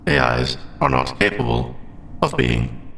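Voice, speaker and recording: A man voicing a formal, conflicted, lovestruck artificial intelligence in a scripted ASMR audio roleplay, speaking in halting, clipped robotic phrases. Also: mid-range voice, creaky, sharp tone, roomy sound, a little hiss or hum, heavily acted. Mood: uneasy, confused. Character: lovestruck sentient AI, formal, conflicted, hesitant about forbidden feelings.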